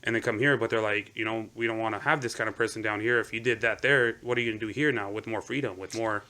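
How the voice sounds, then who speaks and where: A man raised in Seattle, whose first language was Romanian, chats on a podcast at a rapid clip. His voice is bright and forward. He rambles, leaning on "you know" as he builds his points. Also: fairly low pitch, steady tone. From Seattle, United States. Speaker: podcast guest. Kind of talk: casual podcast conversation.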